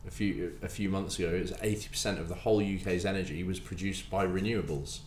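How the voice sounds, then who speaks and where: A man in his forties, a baker and bakery owner, talking in a casual podcast interview, animated and emphatic, often repeating words as he restarts. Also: sits low, quick pace. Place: bakery room with hard walls. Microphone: desk mic on stand. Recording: roomy echo, faint room noise.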